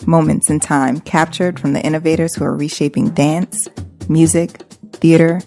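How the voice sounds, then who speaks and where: A woman's voice: low-pitched, fairly even intonation, normal speed, dark tone, soft background music. A woman reading a scripted promotional introduction as a show announcer.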